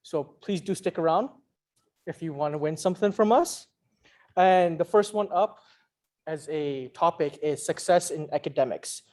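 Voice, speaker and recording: A man's voice: on the high side, moderate pace, a little mellow. A young man giving a casual introduction to an online student event as one of its organisers. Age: young adult. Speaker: student association officer introducing a session. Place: online video call.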